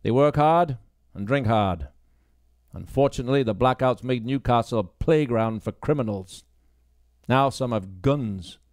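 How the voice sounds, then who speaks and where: A man's voice: mid-range voice, a little slow, darkish tone, no room sound, studio quality, lightly acted. A man voicing an elderly male constable, an easygoing and kindly old-timer in an audiobook. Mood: reassuring, matter-of-fact.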